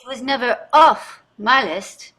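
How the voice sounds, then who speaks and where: Casting: actress as anxious, indignant rich wife in a stage drama, slightly high and piercing, emphatic and morally earnest. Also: female voice, measured pace, pushed and bright. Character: wealthy, anxious, guilt-ridden wife, indignant and combative. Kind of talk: staged play reading, marital argument.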